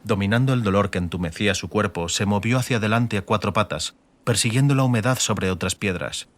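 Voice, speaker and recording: A man reading scripted dramatic audiobook narration in a measured, literary manner. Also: on the low side. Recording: faint ambient noise behind.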